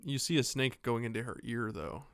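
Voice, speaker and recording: A man's voice: medium pitch, expressive, fairly quick, dry recording, clean studio sound. A man co-hosting a podcast, giving a casual, joking film recap in a rambling, loose-tongued way. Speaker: podcast co-host.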